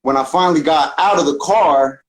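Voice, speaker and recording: Slangy Northern California man, slightly high-pitched. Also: moderate pace, rough recording. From San Francisco Bay Area, United States. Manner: slangy, earnest, peppered with "man" and "bro". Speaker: podcast guest, talkbox musician. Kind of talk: casual personal storytelling.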